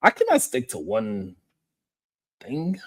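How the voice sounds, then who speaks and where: Slangy, laughing man with a lively voice. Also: mid-range voice, slow, dry recording, studio quality. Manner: laughs often, loose slangy storytelling. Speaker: live stream host. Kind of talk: casual chat.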